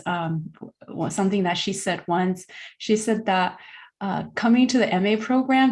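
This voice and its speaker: A woman moderating the event, introducing the next speaker gently with some hesitation. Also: medium pitch.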